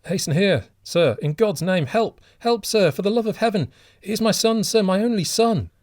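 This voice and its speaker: A man's voice: high voice, moderate pace, a little mellow, no room sound, clean studio sound, voice pushed up, natural voice. A man voicing a desperate old father who shrieks and begs for his drowning son. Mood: frantic pleading. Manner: shrieking, pleading, breathless.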